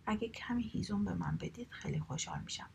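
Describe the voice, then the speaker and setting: A woman's voice: mid-range voice, gravelly, a little mellow. A woman whispering the part of a kind, cheerful young woman in a storybook reading. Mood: gentle.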